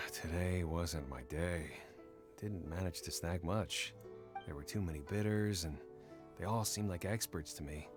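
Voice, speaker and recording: An actor playing a dejected, humble, hesitant auction bidder in a video game. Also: male voice, sits low, measured pace, over music. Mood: dejected, wistful.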